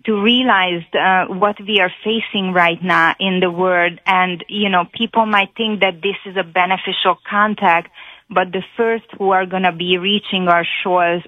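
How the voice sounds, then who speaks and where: A Hungarian-accented woman calls in to a radio show, her voice thin and tinny over a phone line. She speaks in an earnest, halting way, with plenty of fillers. Her voice sits a little low for a woman and sounds dry and close. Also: fairly even intonation, no room sound. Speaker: caller. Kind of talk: call-in radio talk.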